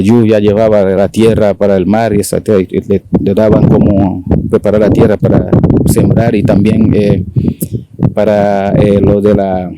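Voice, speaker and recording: A man in his forties from Haiti, speaking Spanish with a Dominican accent, talking haltingly with many fillers as an interview guest. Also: lowish voice, warm tone, no echo, rough recording. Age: 40s. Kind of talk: casual conversational interview.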